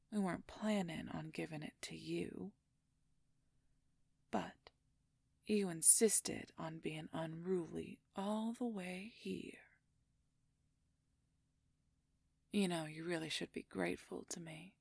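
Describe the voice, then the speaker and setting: A woman playing a smug, possessive royal werewolf schemer in an audio roleplay, silky and condescending, with a husky, breathy, creaky near-whisper. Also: fairly low pitch, no room sound, heavily acted. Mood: condescending, mock tender. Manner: silky, condescending, slow and deliberate. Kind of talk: audio roleplay acting, addressing the listener.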